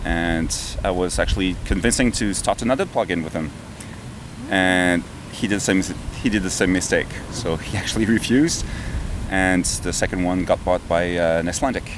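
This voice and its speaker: A lower-voiced man from Canada talks through his story in a halting way, with slightly non-native phrasing. Street noise and passing vehicles sit clearly behind him. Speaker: software developer guest. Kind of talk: casual interview answers. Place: outdoors near a river and traffic.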